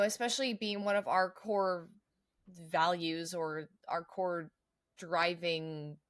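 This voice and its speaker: A woman's voice: lowish voice, fairly bright tone, dry recording. American woman podcaster, close dry sound. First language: English. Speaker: podcast co-host. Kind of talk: casual podcast discussion.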